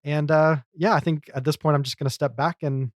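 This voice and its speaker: A man's voice: on the high side, fairly even intonation, fairly quick, no echo, studio quality. A man co-hosting a podcast, talking casually and at length in a chatty, explanatory way. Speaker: podcast co-host. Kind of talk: casual discussion and commentary.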